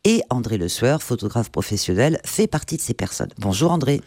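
A man's voice: mid-range voice, big pitch swings, a little fast, a little mellow, dry recording, clean studio sound. A man hosting a radio-style show, interviewing a guest in a conversational way. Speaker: radio or podcast host.

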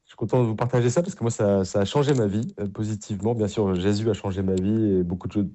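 A man's voice: lowish voice, fast, mellow. A middle-aged man, a priest answering interview questions in an earnest, enthusiastic, conversational way. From Paris, France.

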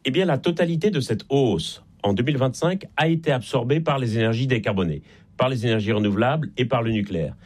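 A man's voice: mid-range voice, lively intonation, fast, husky. Belgian-accented man explaining in an interview. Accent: Belgian French. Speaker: guest expert and author on energy transition.

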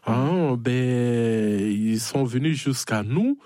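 A man's voice: mid-range voice, expressive, extremely slow, dark tone, no room sound, clean studio sound. A man from the Democratic Republic of the Congo with a Congolese French accent, speaking as a missionary priest in a conversational interview, hesitant and often adding small affirming tags. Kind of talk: conversational interview, personal testimony.

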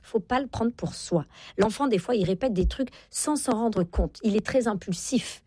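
A woman's voice: mid-range voice, moderate pace, dark tone, husky, dry recording. A woman in her forties speaking as an interview guest, explaining and giving parenting advice emphatically, repeating key phrases to insist. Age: 40s. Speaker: guest parenting expert and author. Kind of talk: interview talk, explaining and advising.

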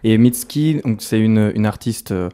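A man hosting a radio interview, asking measured, thoughtful questions. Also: fairly low pitch, mellow.

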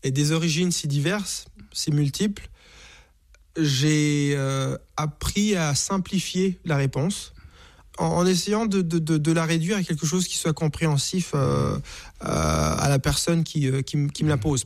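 A man's voice: fairly high pitch, animated, unhurried. A man in his forties from Rwanda, a singer and author, answering in a reflective radio interview, often hesitating and repeating words.

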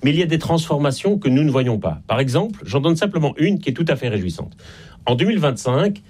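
A man's voice: fairly high pitch, sing-song, fast, a little mellow, breathy edge. Articulate Belgian man explaining on radio. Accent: Belgian French. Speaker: expert guest, author.

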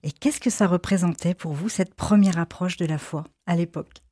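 A woman hosting an interview, asking calm, guiding questions. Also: on the low side, normal speed, no echo, studio quality. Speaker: host.

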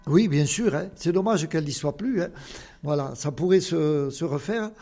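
A man's voice: on the high side, animated, normal speed, dark tone, soft background music. An older man with a southern Occitan accent, a guest answering interview questions in an earnest, explanatory way with many fillers. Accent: Occitan southern French.

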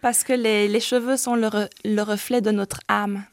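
A woman's voice: medium pitch, steady tone, normal speed, no room sound, clean studio sound. A woman in her forties, a hairdresser and salon director, speaking earnestly as a guest on a radio interview, with frequent hesitations. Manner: earnest, hesitant with many "euh".

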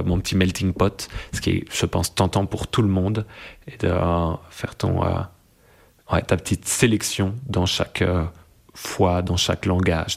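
A young man with a deep, low voice speaks slowly and thoughtfully, pausing often to find his words. He reflects calmly in a conversation, restarting phrases as he works through his ideas. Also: gravelly. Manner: thoughtful, searching, many pauses and restarts. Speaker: interviewee.

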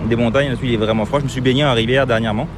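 Man, French accent with Québécois touches, rapid casual speech, noisy moving car. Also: mid-range voice, fairly even intonation. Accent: French mixed with some Québécois. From Brittany, western France. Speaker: interview guest. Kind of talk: casual conversation. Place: in a car on the road. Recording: loud car and road noise.

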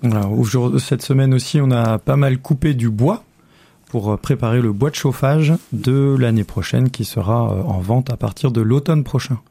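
A man's voice: mid-range voice, moderate pace, vocal fry, warm tone, husky, no echo. A man hosting a radio show, easygoing and guiding his guests with short prompts. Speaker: radio host. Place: radio studio. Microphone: studio microphone.

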